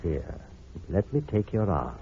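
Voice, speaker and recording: An actor playing a calm, evasive doctor with measured, polite speech in a vintage radio drama. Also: male voice, low-pitched. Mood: calm, genial. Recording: dull, noisy old radio recording.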